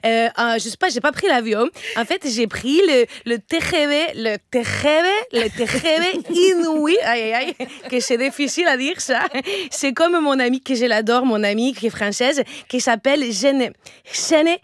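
Woman doing a bubbly spanish lady, heavy accent, high voice, tripping over french town names. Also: moderate pace, no echo, lightly acted. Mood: exuberant. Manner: exuberant, stumbles over French place names.

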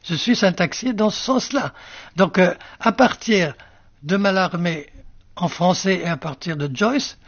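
An older male writer whose first language is Arabic, musing slowly in an interview with many fillers and restarts. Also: sits high, no echo, dull audio. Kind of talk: reflective interview answers.

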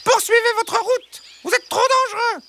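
A woman's voice: very high voice, normal speed, creaky, fairly bright tone, exaggerated voice. A woman voicing a flustered, wary storybook hen who dithers and stammers in everyday colloquial speech. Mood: indignant. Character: flustered, wary hens debating in a henhouse.

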